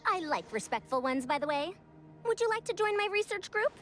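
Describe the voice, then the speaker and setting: A woman's voice: very high-pitched, sing-song, brisk, fairly bright tone, soft background music, full character voice. A woman voicing a pompous, formal senior scholar in an anime-style video game, self-assured and elder-like in her talk. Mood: brisk, proud. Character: haughty, youthful-sounding female senior scholar who talks like an elder.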